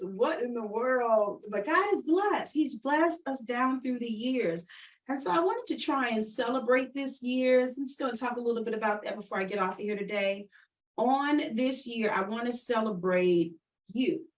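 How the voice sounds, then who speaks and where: A woman's voice: on the high side, distant mic, poor audio. A woman hosting an online radio show, chatting with guests and listeners in an encouraging, affirming way and often repeating phrases. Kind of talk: warm-up chat interview, addressing listeners directly.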